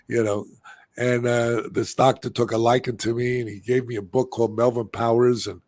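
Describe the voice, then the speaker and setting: A man's voice: medium pitch, level tone, moderate pace, darkish tone, no echo. A man in his seventies hosting an interview, talking in a rambling, chatty way with frequent laughs. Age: 70s. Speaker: show host. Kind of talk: casual interview chat.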